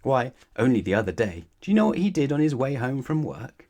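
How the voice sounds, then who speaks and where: A man's voice: medium pitch, big pitch swings, brisk, darkish tone. A man narrating a children's bedtime story expressively, putting questions to his young listeners. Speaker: storybook reader.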